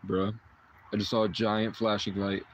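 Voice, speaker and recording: A man's voice: on the low side, level tone, normal speed, slight background noise. A man in his twenties, a livestream host, chatting and rambling with viewers in a meandering, mystical way, full of restarts and short chuckles. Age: 20s.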